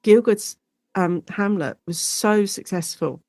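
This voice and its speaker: A woman guest academic explaining her subject in a conversational interview, chatty and animated, thinking aloud as she goes. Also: medium pitch, takes it slowly, no room sound, clean studio sound.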